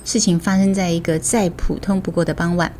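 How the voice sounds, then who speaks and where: A woman's voice: lowish voice, fairly even intonation, fairly quick, darkish tone, slight background noise. A woman with a Taiwanese accent, a storytelling host reading scripted narration. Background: music bed.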